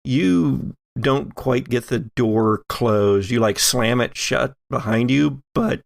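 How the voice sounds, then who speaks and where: A man works as game master, narrating a horror tabletop game with deliberate suspense. His pace is slow and his voice has a fair amount of fry. The sound is dry and close. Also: mid-range voice, no room sound.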